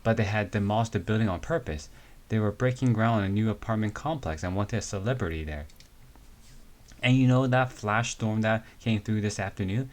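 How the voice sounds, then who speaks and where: A man voicing a big, easygoing male rescuer, telling his story in a halting amateur comic reading. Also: fairly low pitch, close to an everyday voice.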